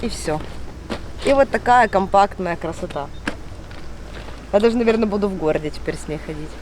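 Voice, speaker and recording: Female outdoor vlog host, mid pitch, nasal from a cold, enthusiastic and casual, clear background noise. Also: recorded from a distance. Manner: chatty, enthusiastic. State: congested from a cold. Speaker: fishing channel host. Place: outdoors by a fishing lake.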